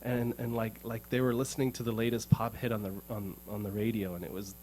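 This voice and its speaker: Male guitarist from the States, lowish voice, earnest, loose rambling storyteller. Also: level tone, fast talker, darkish tone, a little hiss or hum, low-quality recording. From United States. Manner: rambling and earnest, repeats words as he thinks. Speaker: guitarist performing for an audience. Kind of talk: casual storytelling between songs. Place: music showroom.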